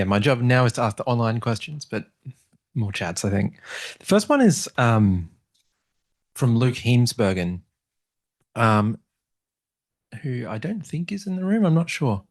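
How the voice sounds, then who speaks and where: Brief: mid-pitched man, online moderator, casual delivery, reads questions with fillers. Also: animated, normal speed, pauses often. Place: seminar room.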